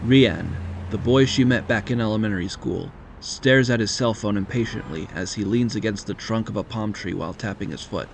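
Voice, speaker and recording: A man's voice: lowish voice, moderate pace, vocal fry, few pauses, a little hiss or hum. A man narrating a scripted audio drama in the third person, as a storyteller.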